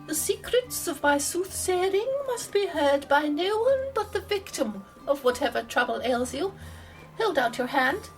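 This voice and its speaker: Woman playing a high-voiced, tart, bossy mystic. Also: expressive, measured pace, faint music behind, rough recording. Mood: mock-mystical, stern. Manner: theatrical, formal, caustic. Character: tart, bossy woman posing as mysterious soothsayer. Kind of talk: comedy audio drama acting.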